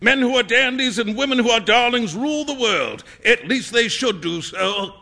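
A man's voice: extremely high pitch, lively intonation, moderate pace, creaky, pushed and bright, voice pushed up, exaggerated voice. A man voicing a flamboyant, opinionated painter in a storybook, with theatrical, emphatic and pompous delivery. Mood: pompous, declaiming. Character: flamboyant male painter, grandly opinionated, excitable and teasing. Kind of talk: acted storybook dialogue.

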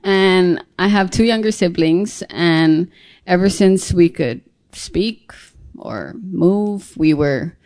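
A woman's voice: on the low side, takes it slowly, darkish tone. A young woman from Hawaii, a native Hawaiian speaker, telling personal stories casually as a singer-songwriter being interviewed. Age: young adult.

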